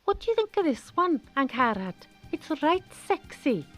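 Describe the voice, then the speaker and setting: A woman's voice: sits high, big pitch swings, normal speed, a bit bright, faint music behind, pitched above the performer's own voice. A woman playing a brash, breezy teenage schoolgirl with a Welsh accent and slangy talk in a radio drama. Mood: breezy, eager. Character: bold, brash, cheerful big teenage schoolgirl best friend.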